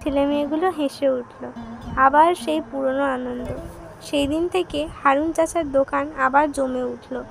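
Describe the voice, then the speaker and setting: A woman's voice: sits high, steady tone, measured pace, gravelly, over music. A woman reading scripted narration for a children's moral cartoon story.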